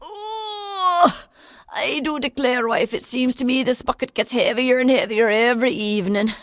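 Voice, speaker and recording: A woman's voice: high voice, measured pace, dry recording, studio quality. A woman voicing a curious, bewildered old farmer with a folksy manner.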